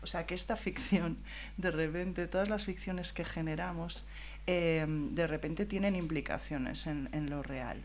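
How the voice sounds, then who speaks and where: A woman's voice: low voice, unhurried, a little hiss or hum. A woman on a round-table panel in a theatre press room, explaining her art project in a thoughtful way with light laughs.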